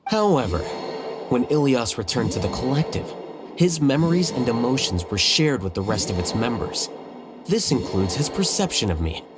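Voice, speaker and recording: A man's voice: medium pitch, very animated, normal speed, close to an everyday voice. A man playing an aloof, calculating young scholar in a video game, dry, analytical and matter-of-fact, with music behind him. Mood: coolly confident. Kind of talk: scripted video game voice acting.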